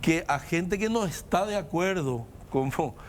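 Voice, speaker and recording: A man with a non-native Spanish accent talks politics in a measured, lecturing way, stacking points into lists. His voice sits a little high. A faint noise runs under the recording. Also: animated. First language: not Spanish. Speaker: guest commentator. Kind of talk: political discussion.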